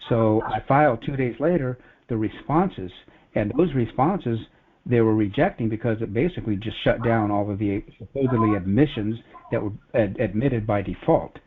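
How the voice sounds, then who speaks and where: A man's voice: lowish voice, normal speed, a little hiss or hum. A man giving an earnest, rambling, casual personal account on a conference call. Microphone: telephone conference call. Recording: phone-like conference call audio.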